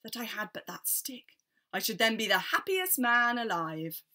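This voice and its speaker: A woman's voice: fairly high pitch, very animated, moderate pace, bright, forceful tone. A woman voicing a foolish, eager man who trades everything away in a folktale. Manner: eager, wishful, easily delighted. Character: foolish, eager, gullible old-fashioned man.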